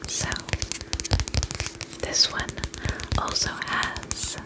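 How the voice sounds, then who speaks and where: A woman whispering in an ASMR role-play as a spa attendant, gentle, soothing and reassuring, speaking in short phrases with pauses. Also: poor audio. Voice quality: unvoiced whisper.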